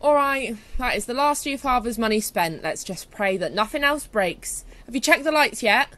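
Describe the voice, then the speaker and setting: A woman's voice: on the high side, expressive, moderate pace, very noisy, close to an everyday voice. Woman playing an exasperated, sensible British shop manager. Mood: practical. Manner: sarcastic, weary, practical. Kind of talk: comic audio drama acting.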